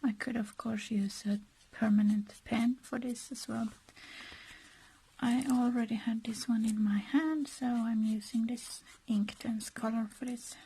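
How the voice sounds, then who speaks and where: A woman with a Finland-Swedish accent talks softly through a craft project. Her voice is very breathy and husky, fairly high and mellow. She goes slowly and hesitantly, murmuring small asides as she works. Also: steady tone, low-quality recording. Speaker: craft vlogger. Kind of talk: casual process narration while crafting.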